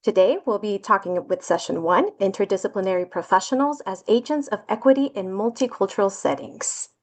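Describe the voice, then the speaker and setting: A woman hosting a webinar, reading scripted welcome remarks in a calm, measured way before handing over to the speakers. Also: medium pitch. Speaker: webinar host introducing speakers.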